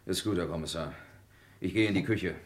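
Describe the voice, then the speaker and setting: A man's voice: deep voice, fairly quick, a little hiss or hum, natural voice. An actor playing a big, emotional peasant man in his forties in a radio drama, with a plaintive manner. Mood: hurt. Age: 40s.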